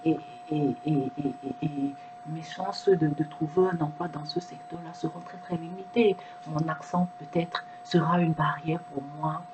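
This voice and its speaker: A woman's voice: sits low, steady tone, measured pace, noisy background. A woman speaking French as a second language, giving an earnest reflective monologue to camera, with frequent restarts and repeated words. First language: not French. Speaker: video presenter.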